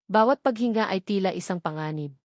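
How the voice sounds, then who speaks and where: A woman's voice: mid-range voice, brisk, no room sound, clean studio sound. A woman reading a scripted dramatic story as its narrator.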